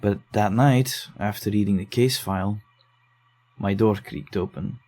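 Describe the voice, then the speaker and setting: A man voicing a rattled young man in a psychological horror audio drama, speaking in a lowish, mellow voice that turns defensive and uneasy as he recounts what happened. He talks quickly when he gets nervous. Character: shaken young man investigating case files. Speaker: narrator and lead character.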